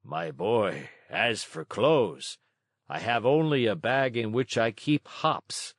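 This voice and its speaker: A man's voice: mid-range voice, expressive, slow pace, no room sound, studio quality. A man voicing a plain-spoken, kindly old man in a children's storybook. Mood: matter-of-fact.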